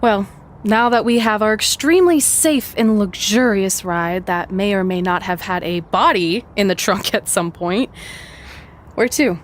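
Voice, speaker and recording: A woman's voice: mid-range voice, measured pace, slight background noise, lightly acted. A woman playing a bold, sarcastic, ambitious young wrestler in a video game cutscene, with a wry, confident delivery. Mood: wry banter. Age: young adult.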